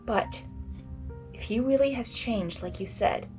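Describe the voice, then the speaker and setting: A woman voicing an earnest, sensible, protective young female pony in a storybook, measured and formal. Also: on the high side, a little mellow, faint music behind, close to an everyday voice. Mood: serious.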